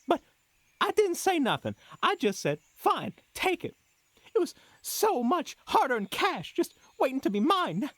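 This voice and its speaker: Man acting a excitable pleading merchant, wildly swooping pitch. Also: a little slow, no room sound, exaggerated voice. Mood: flustered, relieved. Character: desperate, chatty male merchant who was just robbed. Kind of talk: fantasy audio roleplay acting.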